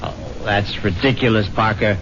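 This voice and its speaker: An actor playing a haughty, jittery widower narrating a 1970s radio drama in an urbane, hesitant manner. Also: male voice, lowish voice, fairly even intonation, normal speed, a bit bright, a little hiss or hum, lightly acted. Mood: exasperated. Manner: urbane, hesitant, exasperated with servants. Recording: dull old radio broadcast with music.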